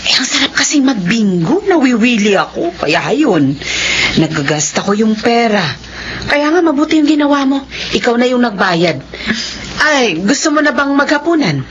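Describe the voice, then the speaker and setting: A woman's voice: on the high side, sing-song, normal speed, slight background noise. Easygoing mother, very varied intonation, chatty, coaxing, apologetic, muffled radio drama sound. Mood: sheepish, affectionate. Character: easygoing, apologetic bingo-loving mother of grown children. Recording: muffled radio broadcast over faint music.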